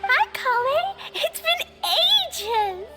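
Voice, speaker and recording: Very high childlike girl, excitable, chirpy, slow-paced, video game character, prominent music. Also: full character voice. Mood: eager, excited. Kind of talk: video game cutscene acting.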